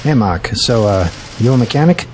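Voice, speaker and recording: An actor playing a folksy, blunt auto shop owner with a dry, casual manner in an audio drama. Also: male voice, medium pitch, animated, normal speed, darkish tone, slight background noise, close to an everyday voice.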